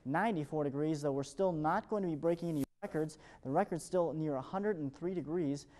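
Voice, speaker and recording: Fast-talking American TV weatherman. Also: a little high, mellow. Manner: upbeat, fluent presenter delivery. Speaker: television meteorologist. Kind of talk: morning TV weather forecast.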